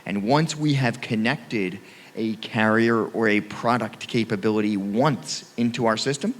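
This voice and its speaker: A man on a conference panel, speaking emphatically and punching key phrases. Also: medium pitch. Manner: emphatic, punchy, speeds up and slows down for stress. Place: conference hall. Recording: reverberant hall.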